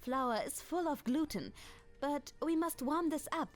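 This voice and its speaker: High-voiced, kindly German dragoness baker, acted by a woman. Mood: cheerful instruction. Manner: gentle, apologetic, formal phrasing with halting hesitations and chuckles. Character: kind, clumsy, centuries-old dragoness baker with a hoard-free conscience. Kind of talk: ASMR roleplay, in-character monologue to the listener. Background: music.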